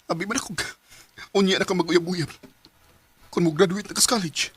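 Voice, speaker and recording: An actor playing a young male student who courts a classmate, speaking hesitantly with many pauses. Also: sits high, steady tone, a bit bright, dry recording. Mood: hurt. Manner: hesitant, halting, many ums and throat clears. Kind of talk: amateur radio drama acting.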